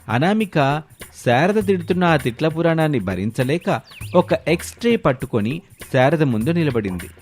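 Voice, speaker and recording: A man narrating a scripted moral tale as a storyteller. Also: mid-range voice.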